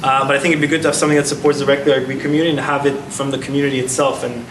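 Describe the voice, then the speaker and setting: A man's voice: mid-range voice, little pitch movement, rapid-fire. A man in his thirties, a former athlete, answering onstage interview questions earnestly, with many restarts. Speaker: guest speaker, former athlete. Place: large gala hall. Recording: echoey hall, heard from far off, noisy.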